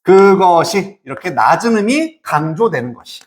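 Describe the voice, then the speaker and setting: A man's voice: high voice, sing-song, moderate pace. A man teaching English in an explanatory lecture, emphatic and informal, often asking questions and answering them himself. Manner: asks and answers own questions, checking understanding. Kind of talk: explanatory exam-prep lecture.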